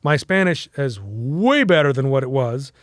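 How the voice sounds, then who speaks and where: A man's voice: medium pitch, very animated, moderate pace, no room sound. Rambling Mexican-American man, dry sound. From United States. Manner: rambling with fillers and restarts. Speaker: podcast guest. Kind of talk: casual storytelling interview.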